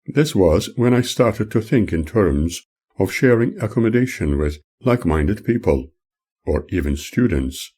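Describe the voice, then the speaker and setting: An older man, the author, reading his own memoir aloud as audiobook narration in a measured, formal manner. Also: on the low side, animated, mellow, husky.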